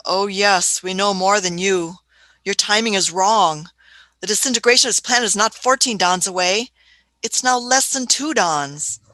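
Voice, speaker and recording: A woman's voice: mid-range voice, moderate pace, bright, forceful tone, no room sound, lightly acted, rough recording. A woman in a radio play acting an eccentric, imperious older time traveller who is fussy, hesitant and repeats her phrases. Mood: brisk, businesslike.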